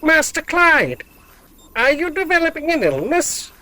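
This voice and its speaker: A woman's voice: sits high, sing-song, measured pace, pushed and bright, exaggerated voice. A woman voicing a loyal, literal-minded robot dog in an audio drama, formal and precise. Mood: puzzled.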